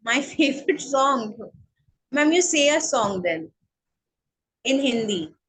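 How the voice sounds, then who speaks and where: A woman with a Bengali accent speaking English as a guest in an interview, slow and hesitant with many fillers. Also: sits high, expressive, a bit bright. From India. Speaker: guest, counselling psychologist. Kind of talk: online interview, casual chat.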